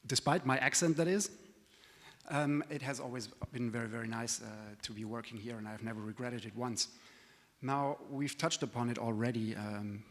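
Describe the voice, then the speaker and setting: A man who is not a native English speaker gives a friendly, self-deprecating conference presentation, with frequent fillers and restarts. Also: mid-range voice. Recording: reverberant conference hall.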